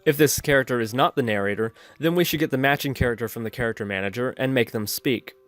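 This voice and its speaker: A man's voice: mid-range voice, fast talker, a bit bright, no room sound. A man narrating a programming tutorial in a methodical, instructional way.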